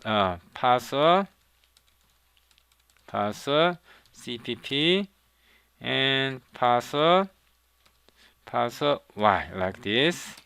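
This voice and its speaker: A man with a Korean accent, a non-native English speaker, teaching a coding tutorial in halting speech, repeating words and checking in with "okay?". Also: mid-range voice, bright, forceful tone, clear, resonant tone, no echo. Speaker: programming instructor. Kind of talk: screen-recorded coding tutorial.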